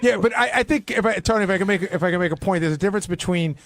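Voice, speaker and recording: A man's voice: high voice, rapid-fire, a bit bright. A man hosting a radio call-in show, moderating the discussion. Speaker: radio wrap-up show host.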